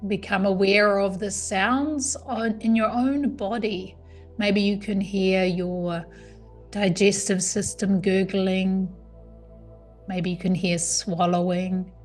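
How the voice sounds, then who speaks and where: A woman guides a meditation in a soothing, unhurried manner. Her voice sits at a medium pitch and she gives each instruction calmly. Soft music plays faintly behind her. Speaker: yoga nidra meditation guide.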